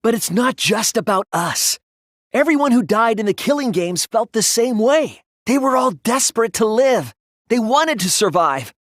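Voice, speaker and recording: Casting: earnest, polite teen boy detective for an anime game, timid yet resolute, very animated intonation, dry studio sound. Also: high-pitched, no room sound, exaggerated voice. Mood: impassioned, determined. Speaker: video game voice actor. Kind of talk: English anime game dub acting.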